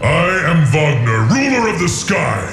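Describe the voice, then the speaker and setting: An actor playing a proud, menacing ancient dragon in a video game, speaking in a grandiose, imperious, archaic manner. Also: male voice, fairly high pitch, big pitch swings, measured pace, vocal fry, fairly bright tone, heavily acted. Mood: proud, solemn. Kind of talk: dramatic video game voice acting. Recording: echoing voice under prominent game music. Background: game music.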